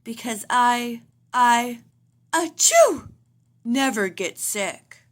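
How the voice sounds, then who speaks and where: A woman's voice: on the high side, animated, extremely slow. A woman voicing a boastful, stubborn father bear for a storybook, stammering his way into sneezes. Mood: boastful, sneezy.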